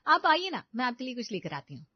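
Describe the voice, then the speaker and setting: A woman's voice: on the high side, sing-song, fast talker, dry recording, highs cut off. A woman voicing a devoted, cheerful young daughter-in-law in a story, polite, reassuring and eager to please.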